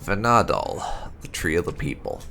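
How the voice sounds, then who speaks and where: A man reading fantasy lore aloud as dramatic narration, in a deliberate storyteller's delivery. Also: low-pitched, wildly swooping pitch, creaky, sharp tone, slight background noise. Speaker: gameplay channel host. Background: music.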